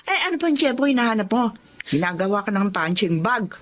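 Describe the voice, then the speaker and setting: A woman's voice: medium pitch, big pitch swings, a little fast, a bit bright, a little hiss or hum. A woman playing an exasperated, protective friend who scolds, in a radio melodrama. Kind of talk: melodramatic radio drama acting.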